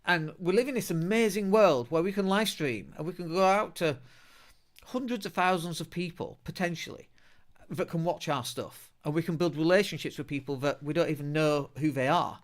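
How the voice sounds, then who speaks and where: A man's voice: on the high side, normal speed. A middle-aged man with a Leeds accent hosting a solo podcast in a chatty, blunt way, with self-mocking humour. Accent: Northern English, Leeds. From England. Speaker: podcast host. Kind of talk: casual solo podcast talk.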